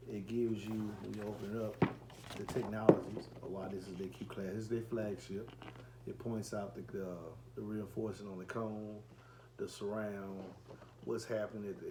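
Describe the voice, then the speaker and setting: A man in his forties talking casually in a rambling, self-correcting way, as a reviewer filming an unboxing video. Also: lowish voice. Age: 40s. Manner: rambling, often repeats and corrects himself. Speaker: car audio reviewer and enclosure builder. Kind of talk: casual unboxing vlog talk. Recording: echoey room with faint background noise.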